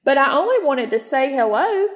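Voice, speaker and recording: A big hairy young grizzly from a storybook, acted out by a woman. He is friendly and sensitive, speaking earnestly and like a child, in a raised pitch. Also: sing-song, normal speed. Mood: hurt.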